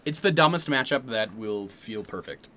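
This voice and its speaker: A man's voice: on the low side, expressive, moderate pace, sharp tone. A man co-hosting a casual livestream Q&A, laid-back and dry in his remarks. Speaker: co-host of a livestream Q&A.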